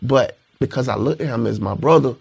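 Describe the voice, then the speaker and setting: A man's voice: a little fast, vocal fry, a little mellow, breathy edge. A man, a rapper guest speaking in a laid-back, reflective way. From Panama City, Florida. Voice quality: high-pitched voice. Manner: laid-back, reflective, repeats "you know what I'm saying". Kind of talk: casual interview chat.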